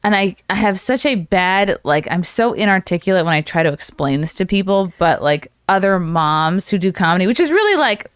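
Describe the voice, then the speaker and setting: A woman's voice: mid-range voice, animated, fairly quick, few pauses, clear, resonant tone, no room sound, studio quality. A woman hosting a podcast in casual interview chat, with a gushing, exclamatory way of talking. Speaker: podcast host.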